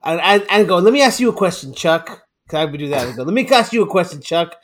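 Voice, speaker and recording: Excitable, stumbling man, fairly high voice. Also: fast. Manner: excitable, laughs a lot, stumbles over repeated words. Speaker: podcast co-host. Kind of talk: casual chat.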